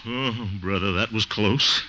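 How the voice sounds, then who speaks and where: An actor playing an honest, good-natured small-town man in a hearty, expressive 1950s radio comedy style. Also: lowish voice, measured pace, lightly acted. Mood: alarmed. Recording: noisy old 1950 radio recording.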